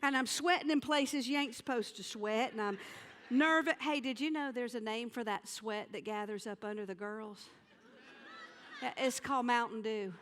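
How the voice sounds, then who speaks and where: A woman with a Southern US accent telling comic stories on stage in a folksy, dramatic manner with pauses for effect. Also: a little high, a bit bright, a little hiss or hum. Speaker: comedian and storyteller. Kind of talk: theatrical comic storytelling to an audience. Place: theater stage.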